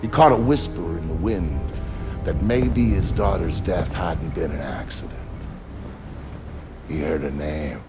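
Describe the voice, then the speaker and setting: An actor playing a world-weary, folksy comrade, narrating a video game story with wry drama. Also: male voice, low-pitched, measured pace, pauses often. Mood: somber. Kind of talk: scripted narration in a game cinematic. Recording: music under the narration.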